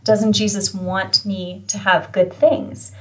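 A woman's voice: medium pitch, flat delivery, slow. A woman hosting a podcast interview, asking thoughtful questions in a conversational way. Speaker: podcast host.

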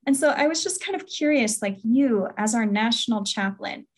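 A woman's voice: fairly high pitch, animated, normal speed. A woman hosting a podcast, speaking in a conversational interview style. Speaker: podcast host.

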